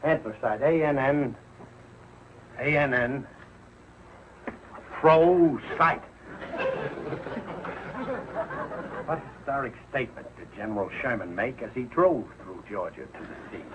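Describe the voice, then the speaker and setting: A man's voice: medium pitch, expressive, a little slow, lightly acted. An actor playing a bumbling, exasperated middle-aged working-class father in a 1940s television sitcom. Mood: puzzled, studious. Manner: blustering, ungrammatical, hesitant when cornered. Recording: muffled, noisy old television soundtrack.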